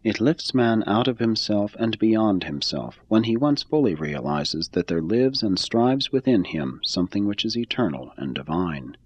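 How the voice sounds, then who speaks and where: Deep husky man reading a religious text. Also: sing-song, moderate pace, few pauses, no echo, muffled recording. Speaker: reader.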